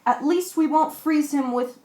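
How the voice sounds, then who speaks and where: A woman's voice: high-pitched, a little slow, mellow. A woman acting a practical, commanding shipwrecked sailor in an amateur radio play, reading earnestly with small stumbles. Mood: brisk, marvelling. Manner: earnest, stumbles over scripted lines. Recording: echoey room recording.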